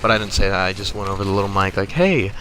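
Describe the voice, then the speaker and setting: Young American male gaming creator, lowish voice, casual sweary storytelling with clear background noise. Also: completely flat delivery, very fast, bright, forceful tone, low-quality recording. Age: young adult. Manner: profane, exasperated, conversational. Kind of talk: casual comic storytelling over gameplay.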